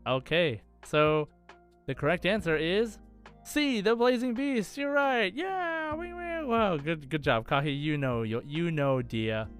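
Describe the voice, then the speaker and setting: A man's voice: on the high side, lively intonation, measured pace, fairly bright tone. A man hosting a podcast quiz in a casual, chatty style. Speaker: podcast host and quizmaster. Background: faint background music.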